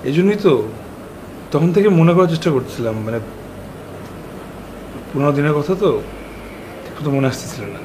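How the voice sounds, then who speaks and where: Actor doing polite awkward ex visiting, bangladeshi accent, bit high voice, hesitant, roomy sound. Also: male voice, animated, normal speed, long pauses, background noise, close to an everyday voice. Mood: awkward, evasive. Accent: Bangladeshi Bengali. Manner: hesitant, courteous. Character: awkward, polite former sweetheart visiting as guest. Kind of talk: TV drama dialogue. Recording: echoey room, music underneath.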